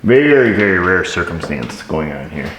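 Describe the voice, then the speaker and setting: Middle-aged male mechanic, low voice, dry self-mocking how-to talk, echoey shop. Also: big pitch swings, moderate pace. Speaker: auto mechanic, shop owner. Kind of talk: casual how-to narration while working. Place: indoor auto repair shop garage. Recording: echoey garage with shop noise.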